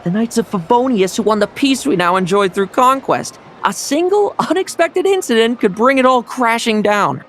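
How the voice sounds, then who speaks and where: A man's voice: very high-pitched, animated, normal speed, hardly any pauses, a bit bright, slight background noise. A man voicing a dry, wry, reluctant knight in a game cutscene.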